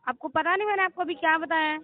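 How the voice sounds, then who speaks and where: An Indian woman works through a debt collection call in a high, fast-moving voice. Her questioning is insistent and confrontational, like an agent who wants a straight answer. The sound is a narrow, tinny phone recording. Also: steady tone. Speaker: loan recovery call centre agent. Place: on a phone line.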